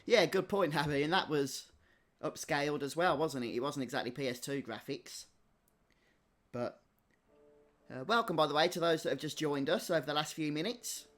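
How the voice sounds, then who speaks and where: A man giving casual, chatty live commentary as a gaming streamer, offering measured opinions. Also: on the high side, lively intonation, a bit bright, soft background music. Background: game soundtrack.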